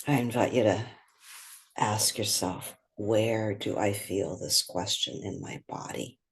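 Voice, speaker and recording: Very slow, breathy meditation guide. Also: mid-range voice, steady tone. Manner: slow, unhurried, gentle, with long pauses.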